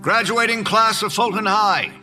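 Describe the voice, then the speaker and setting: High, bright-voiced ceremonial mayor played by a man. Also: normal speed. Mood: ceremonious. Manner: formal, oratorical. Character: ceremonious small-town mayor, coldly calm. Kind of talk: horror audio drama acting. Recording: long hall reverb, music underneath.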